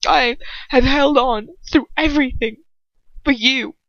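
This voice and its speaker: A woman's voice: high voice, unhurried, fairly bright tone, dry recording, lo-fi sound, voice pushed up. A woman voicing an anguished, betrayed young man with bitter, pained delivery in a story reading. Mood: furious.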